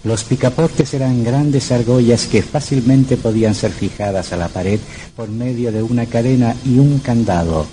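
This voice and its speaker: A man's voice: mid-range voice, moderate pace, hardly any pauses, warm tone, slight background noise, low-quality recording. A man narrating a scripted old radio horror drama in a dramatic, suspenseful manner.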